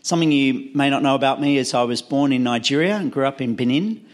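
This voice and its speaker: A man with a mid-pitched voice speaks on a church panel. He introduces himself in a plain, matter-of-fact way. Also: fast talker.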